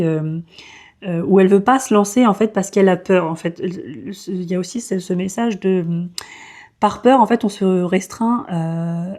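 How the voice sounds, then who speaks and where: A woman's voice: lowish voice, dark tone. A woman in her thirties giving a casual, hesitant book review full of fillers and restarts. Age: 30s. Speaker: book vlogger.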